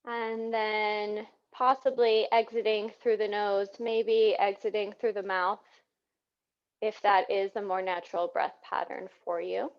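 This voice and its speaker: A woman teaching yoga, guiding breathing in a soothing, gentle manner with unhurried phrasing. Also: mid-range voice, level tone. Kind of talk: guided yoga breathing instruction. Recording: dull, muffled lo-fi sound.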